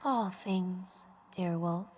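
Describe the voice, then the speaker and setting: An actress playing a solemn lamb spirit of death in a video game, speaking in a measured, formal, poetic manner. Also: female voice, on the low side, a little mellow, husky, full character voice. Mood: serene, gentle. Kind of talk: video game character voice lines. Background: music.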